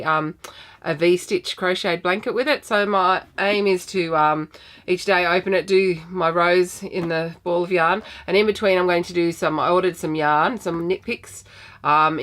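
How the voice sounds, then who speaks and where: Australian woman, slightly low voice, croaky, rambling casual chat, podcast host at home. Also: fairly bright tone. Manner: chatty, rambling with many ums. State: croaky from a sinus infection.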